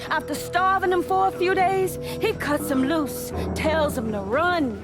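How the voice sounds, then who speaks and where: An actress playing a shrewd, proud female crime boss in a game drama, with a measured, commanding delivery. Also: very high voice. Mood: bitter anger. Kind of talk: video game cutscene drama acting. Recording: music behind the voice.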